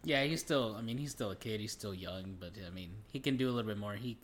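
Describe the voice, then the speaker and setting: Low-pitched young man chatting casually. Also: fast. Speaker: podcast co-host. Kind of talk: casual movie chat.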